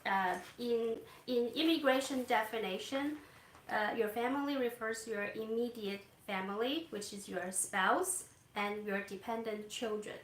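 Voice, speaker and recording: A soft-spoken woman who works as an immigration consultant, explaining steps gently with hesitant fillers. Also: a little high, a little slow, reverberant, a little hiss or hum, rough recording. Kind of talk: explaining to a live audience. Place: Canada.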